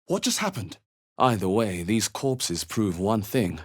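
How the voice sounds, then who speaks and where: A man playing a wary, thoughtful fantasy adventurer in a video game, musing aloud in a measured, dramatic way. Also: on the low side, very animated. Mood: startled, dismayed. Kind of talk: video game voice acting, short exploration remarks.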